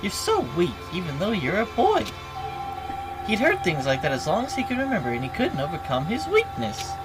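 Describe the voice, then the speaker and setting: A woman's voice: fairly low pitch, huge pitch swings, over loud music, low-quality recording. A woman voicing a gleeful, taunting robotic bear villain telling a story theatrically for a video game. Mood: sly storytelling. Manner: theatrical, mocking, drawn-out announcements. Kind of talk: dubbing video game dialogue aloud.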